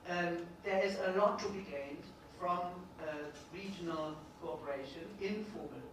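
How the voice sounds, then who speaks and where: A middle-aged woman speaking loudly at a policy conference, pausing often with fillers and restarts. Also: on the low side. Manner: hesitant, many fillers and restarts; loud projecting voice. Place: large conference hall. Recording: echoey hall from far off, noisy.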